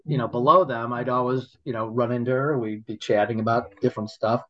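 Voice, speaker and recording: A man telling stories in casual chat, halting and rambling, laughing often as he goes. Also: mid-range voice, fairly even intonation, fairly bright tone, lo-fi sound. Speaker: guest, local business owner.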